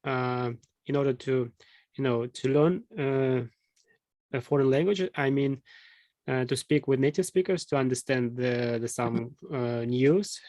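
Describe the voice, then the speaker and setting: Non-native guy hosting an interview, halting, lots of uhs, dry sound. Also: mid-range voice, a little mellow, dry recording. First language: not English. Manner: halting, searches for words with many fillers. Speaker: interviewer host. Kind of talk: casual interview questions.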